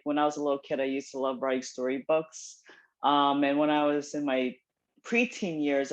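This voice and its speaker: A woman in her forties, raised in New Jersey and, chatting casually as a playwright guest from home, full of ums and self-deprecating asides. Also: low voice. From South Korea, raised in New Jersey. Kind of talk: casual interview chat. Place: home, dog barking nearby. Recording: dull lo-fi call audio.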